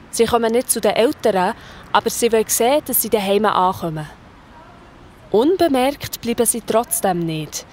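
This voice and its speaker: A woman's voice: medium pitch, slight background noise. A woman with a Swiss German accent narrating a children's story in a colloquial, scripted style. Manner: colloquial, present-tense storytelling.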